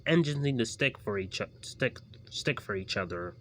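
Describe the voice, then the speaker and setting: A man's voice: lowish voice, animated, moderate pace, fairly bright tone, slight background noise. A man voicing a friendly, easygoing male engine in a homemade story.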